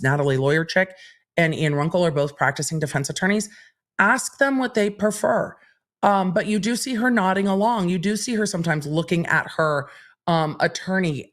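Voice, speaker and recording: A woman hosting a livestream, chatting candidly and reading out viewer questions. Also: on the low side. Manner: chatty and candid, answering questions in an even, explaining way. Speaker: legal commentary livestream host.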